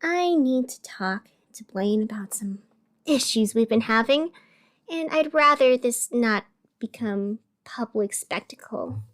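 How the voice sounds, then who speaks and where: An actress playing a wry, wounded young radio host in a scripted audio drama, sardonic and theatrical with deliberate pauses. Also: female voice, a little high, animated, a bit bright. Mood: tense, curt. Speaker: fictional radio show host.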